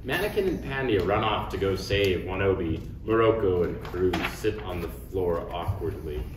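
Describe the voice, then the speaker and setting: A man's voice: low-pitched, expressive, moderate pace. A man reading stage directions in scripted narration at a table read. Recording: roomy, distant, clearly noisy recording.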